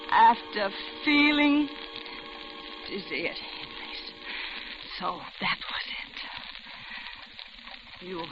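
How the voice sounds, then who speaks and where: An actress playing a terrified captive woman in a radio suspense drama, halting and pleading as she humors her captor. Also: on the high side. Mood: bewildered, haunted, then frightened disbelief. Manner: breathless, halting, repeating herself in fear. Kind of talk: 1940s-style radio suspense acting with first-person narration. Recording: muffled old radio recording with crackling hiss.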